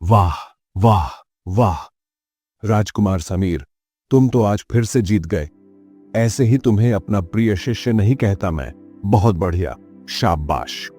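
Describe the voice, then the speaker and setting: A man's voice: lowish voice, slow, dark tone, soft background music. A man voicing a kindly, dignified guru in a children's moral story, formal and authoritative. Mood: approving.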